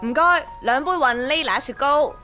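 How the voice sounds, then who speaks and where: A woman's voice: high-pitched, normal speed, over music, close to an everyday voice. An actress playing a practical, teasing young woman in a radio drama, with a Hong Kong accent and wry, colloquial delivery. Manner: wry, colloquial, gently bossy.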